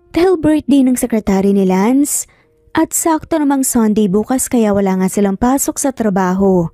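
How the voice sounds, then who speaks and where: A woman's voice: a little high, mellow, no room sound, clean studio sound. A woman storyteller giving a scripted, dramatic narration of a Tagalog romance story. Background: background music.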